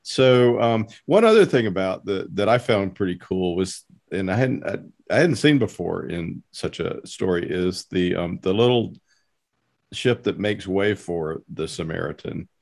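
A man hosting a casual podcast interview, halting as he searches for his words. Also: low voice, animated, gravelly, darkish tone.

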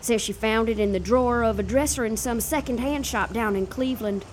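An actress playing a warm, plucky, down-at-heel old woman with a folksy manner in a period audio drama. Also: on the high side, fairly quick, slight background noise, close to an everyday voice. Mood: gentle, curious. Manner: folksy, colloquial, affectionate.

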